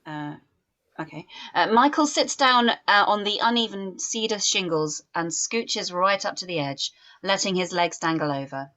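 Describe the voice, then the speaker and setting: A woman reads stage directions aloud for a drama table read. Her voice is a little low, and her scripted narration is measured and descriptive. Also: animated, bright, forceful tone.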